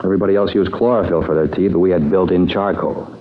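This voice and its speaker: An American actor playing a henpecked married police detective in a 1950s radio drama, talking in a folksy, chatty, dryly complaining way. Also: male voice, deep voice, fairly even intonation, quick pace. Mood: glum grumbling. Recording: dull vintage radio, faint noise.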